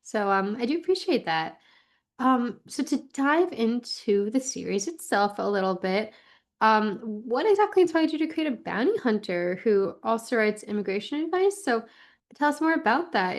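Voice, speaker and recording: A woman's voice: a little high, lively intonation, normal speed. A woman speaking as a podcast host, presenting and interviewing. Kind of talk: scripted intro and interviewing.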